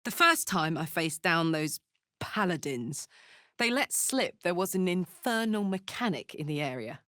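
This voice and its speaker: A woman's voice: fairly low pitch, big pitch swings, unhurried, fairly bright tone, dry recording, clean studio sound. A woman voicing a brash, big-hearted fiery tiefling warrior in a video game, blunt, slangy and swaggering. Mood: musing. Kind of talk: video game character voice lines.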